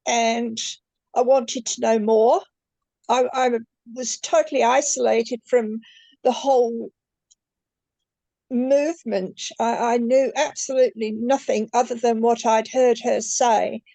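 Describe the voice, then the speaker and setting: An older woman, telling her story as a guest in a halting way that repeats words. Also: fairly high pitch, gravelly, dark tone, dry recording, low-quality recording. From New Zealand. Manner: slow, halting, repeats words as she goes. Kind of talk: conversational interview.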